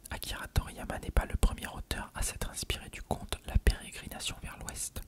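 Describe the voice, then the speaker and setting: Whispered ASMR reading voice. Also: brisk. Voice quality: breathy, unvoiced whisper. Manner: reading aloud. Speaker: ASMR reader.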